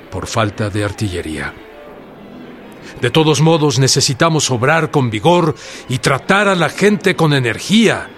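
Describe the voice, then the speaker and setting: A man playing an earnest revolutionary statesman, Mexican accent, formal radio drama delivery, slow pace, widely varied intonation. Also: medium pitch, close to an everyday voice. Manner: formal, measured, emphatic. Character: Madero, earnest revolutionary president-elect, dictating and conversing.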